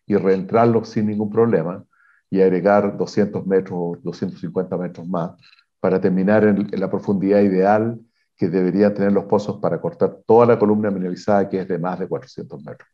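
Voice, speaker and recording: A man, a mining exploration executive, giving measured technical answers in an interview, pausing often with fillers and restarts. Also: sits low, fairly even intonation, highs cut off. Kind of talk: interview answers on a video call.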